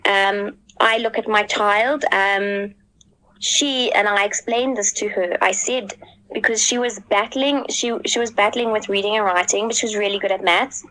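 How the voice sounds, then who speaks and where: A woman's voice: mid-range voice, fairly even intonation, normal speed, bright, forceful tone, poor audio. A woman and mother speaking as a panelist in a casual podcast discussion, earnest and thoughtful, with many fillers.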